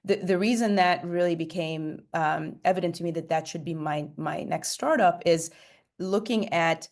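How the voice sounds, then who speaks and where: Low-voiced woman from Egypt. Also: moderate pace, a little mellow. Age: late 40s. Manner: fluent, many fillers and restarts. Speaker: startup CEO guest. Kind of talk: podcast interview.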